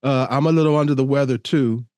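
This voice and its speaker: A man's voice: mid-range voice, fairly even intonation, quick pace, a little mellow, smooth, dry recording. A man co-hosting a casual podcast, a little congested, laughing often and reading an article aloud. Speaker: podcast co-host. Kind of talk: casual podcast chat over video call.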